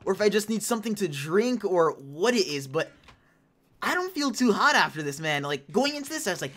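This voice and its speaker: A woman in her late teens hosting casual gameplay commentary, chatty and excitable, rambling between topics, her voice a little hoarse. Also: medium pitch, a little fast. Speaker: gaming channel host.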